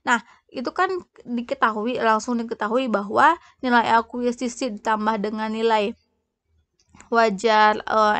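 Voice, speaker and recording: Higher-voiced woman explaining a lesson. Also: a bit bright, no room sound. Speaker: accounting lecturer. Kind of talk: explanatory lesson narration, semi-formal.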